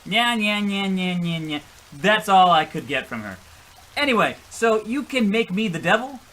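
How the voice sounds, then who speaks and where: A man's voice: high-pitched, expressive, moderate pace, crowd noise. A man playing a gullible, defensive husband who rambles through his tall story with exasperation in an old-time radio comedy.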